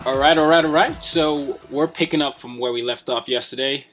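A man hosting a podcast, introducing the episode in a relaxed, informal way. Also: mid-range voice, moderate pace. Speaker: podcast host. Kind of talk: casual show introduction. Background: music.

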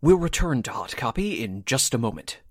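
A male announcer narrates in old-time radio style. His mid-pitched voice is animated and dramatic, recorded close and dry. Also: normal speed, no echo.

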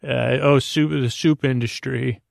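A man hosting a bedtime podcast in a rambling monologue, gravelly and hesitant, meandering off into tangents. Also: medium pitch, fairly even intonation, unhurried, dry recording, studio quality. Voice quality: gravelly, creaky. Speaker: podcast host.

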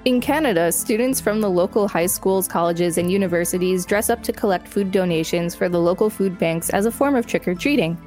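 A woman's voice: lowish voice, a little fast, few pauses, a little mellow, faint music behind. A woman co-hosting a podcast, reading an article aloud and chatting casually. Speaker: podcast co-host.

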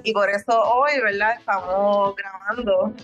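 A woman's voice: medium pitch, fairly even intonation, a little slow, a bit bright, muffled recording, soft background music. A Puerto Rican woman co-hosting a parenting podcast in casual chat, dropping frequent "verdad?" fillers. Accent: Puerto Rican Spanish. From Puerto Rico. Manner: chatty, many fillers like "¿verdad?". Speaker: podcast co-host.

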